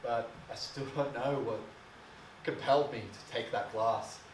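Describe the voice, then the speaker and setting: A man playing a bitter, wounded son of an alcoholic father in a dramatic monologue, speaking in a brooding, emotional, halting way. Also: medium pitch, lightly acted. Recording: distant mic, echoey room, faint hiss.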